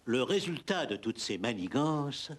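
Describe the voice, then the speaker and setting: A man narrating a scripted historical documentary, with a husky voice. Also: medium pitch, sing-song, a little slow, slight background noise.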